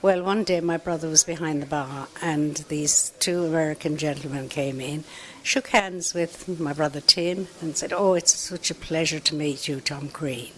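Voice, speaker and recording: Low-voiced chatty Irish woman. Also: normal speed, fairly bright tone, low-quality recording. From Ireland. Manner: chatty, many "you know" asides. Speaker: pub owner. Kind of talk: relaxed storytelling interview.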